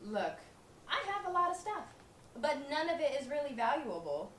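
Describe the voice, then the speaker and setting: A woman's voice: high voice, very animated, moderate pace, fairly bright tone. An actress playing a practical young woman roommate in a live stage comedy, often exasperated. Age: young adult. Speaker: stage actor. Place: small theatre stage. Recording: roomy stage, distant mic, background noise.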